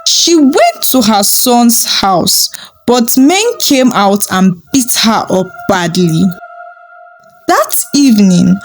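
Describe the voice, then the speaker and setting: A woman narrating a dramatic folktale in a slow, expressive way. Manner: slow, expressive, rising pitch on key moments. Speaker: storyteller. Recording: with background music.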